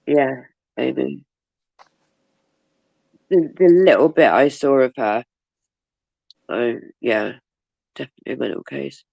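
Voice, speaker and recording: Gravelly, congested woman streaming. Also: rumbling low, slow, pauses often, mellow, no room sound, low-quality recording. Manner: swearing, gossipy, laughs often. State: bunged up with a cold. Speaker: livestream host. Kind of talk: casual chat with viewers.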